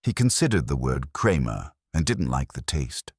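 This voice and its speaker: A man reading a first-person detective story as narrator, in a dry, measured noir manner. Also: extremely low pitch, sing-song, slightly rough, no room sound.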